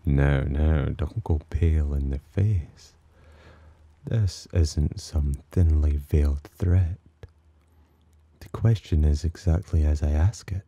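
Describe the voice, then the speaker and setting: Casting: male actor as condescending demon for ASMR roleplay, extremely low and husky, menacing yet teasing. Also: no room sound, dull audio, exaggerated voice. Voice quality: very deep, dark, husky. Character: haughty, teasing male demon, disdainful of humans, possessively protective. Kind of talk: ASMR roleplay, intimate scripted monologue.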